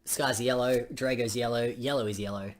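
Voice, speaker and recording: A woman with a husky voice reading game dialogue aloud on a stream, with casual asides. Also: a bass voice, fairly even intonation, normal speed.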